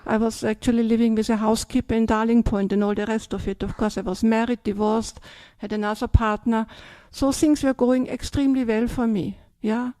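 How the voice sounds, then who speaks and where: An older woman with an Austrian German accent gives a relaxed talk. Her voice sits fairly high but sounds mellow and soft. It is recorded close and dry, with no room sound, and she keeps checking in with her listeners. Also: brisk, few pauses, no room sound. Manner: chatty, tags sentences with "yeah?" and "yes?". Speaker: Buddhist nun. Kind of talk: dharma talk.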